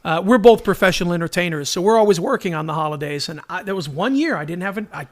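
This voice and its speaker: A man hosting a podcast, chatting casually in an enthusiastic, animated manner. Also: sits high, quick pace. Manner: enthusiastic, animated, laughs readily. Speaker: podcast host, professional entertainer. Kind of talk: casual chat.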